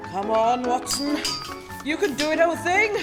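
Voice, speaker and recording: A woman playing an earnest, anxious, gentlemanly male sidekick who acts in and narrates a comic radio play. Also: sits high, animated, measured pace. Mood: nervous. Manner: polite, fretful, old-fashioned gentlemanly. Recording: hall reverb, music behind.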